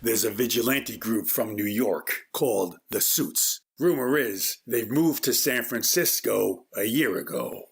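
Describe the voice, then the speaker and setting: A man's voice: on the low side, measured pace, vocal fry, few pauses, husky, exaggerated voice. A man acting as a businesslike police captain in charge, in an audio crime drama. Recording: faint background noise.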